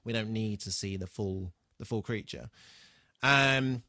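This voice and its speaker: A man's voice: fairly low pitch, a little slow, sharp tone, dry recording, clean studio sound. A man co-hosting a podcast, casually recapping a TV episode and piecing his thoughts together with asides as he goes. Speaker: podcast co-host.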